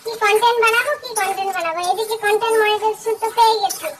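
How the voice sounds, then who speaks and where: A woman in a casual vlog monologue, her voice altered by a pitch-raising voice-changer filter. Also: fairly even intonation, racing, distant mic. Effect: pitch-raised voice-changer filter. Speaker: vlogger.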